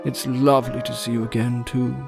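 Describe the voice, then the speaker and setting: Male actor as sly imperial villain, mock-polite, drawling, theatrical, mid-range pitch, music behind. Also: little pitch movement, brisk, creaky, natural voice. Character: smooth, mocking villain chancellor, theatrical and sly. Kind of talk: audio drama acting.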